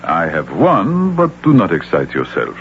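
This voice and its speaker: A courtly police officer in a radio mystery. His very low voice moves expressively, through dull old broadcast sound. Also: huge pitch swings, unhurried, heavily acted. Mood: grave, careful. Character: courtly, measured male French police lieutenant. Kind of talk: old-time radio drama acting. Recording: muffled, lightly noisy radio broadcast tape.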